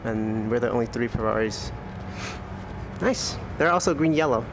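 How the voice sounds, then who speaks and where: A man giving low-key, casual commentary on his sim race, thinking aloud, his voice worn from losing it. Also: lively intonation, moderate pace, noisy background. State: losing his voice, clearing throat. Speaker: sim racing streamer. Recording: music and car engines behind. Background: racing game music and engine sound.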